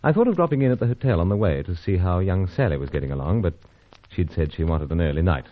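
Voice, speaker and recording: An actor playing a suave secret agent who narrates his own radio adventure in casual, clipped, gentlemanly speech. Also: deep voice, animated, fast. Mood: measured narration. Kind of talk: old-time radio serial acting with first-person narration. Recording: muffled radio serial with background music.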